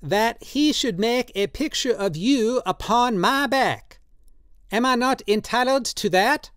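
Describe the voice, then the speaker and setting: A storybook reader voicing an excitable, drunken old man who pleads and insists, raised well above the reader's own voice with an altered tone. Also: medium pitch, measured pace, no room sound, studio quality, voice pushed up. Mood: eager.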